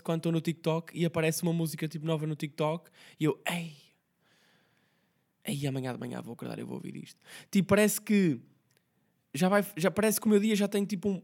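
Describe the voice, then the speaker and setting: A hoarse young man with a Portuguese accent, hosting his podcast as a rambling, slang-heavy casual chat. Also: on the high side, darkish tone. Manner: slangy, rambling, quick talk with many fillers. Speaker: podcast host.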